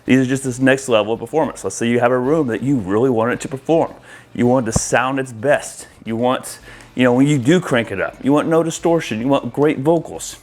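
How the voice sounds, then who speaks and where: A man's voice: mid-range voice, fairly quick, a little mellow. A man talking informally to viewers as an installer, walking through a product demo in a casual way and addressing them as guys. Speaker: audio-video installer presenting to viewers.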